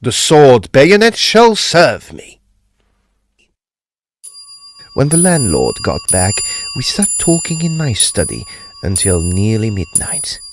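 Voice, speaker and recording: Guy doing a intrepid ghost hunter narrating a spooky audio drama, dramatic and suspenseful, takes his time, really animated pitch. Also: measured pace, noisy background. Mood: grave, purposeful. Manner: theatrical, suspenseful. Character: grave, intrepid male ghost hunter telling his own tale. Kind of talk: dramatic first-person ghost story narration.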